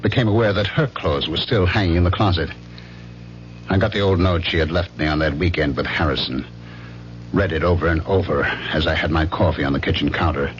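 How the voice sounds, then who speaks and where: A deep-voiced, haunted killer narrating. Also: male voice, fairly quick, slight background noise. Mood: cold resolve. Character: haunted, confused man turned killer, coldly recounting his crime. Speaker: lead actor and narrator. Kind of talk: radio drama first-person narration. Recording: muffled old radio drama recording, music behind.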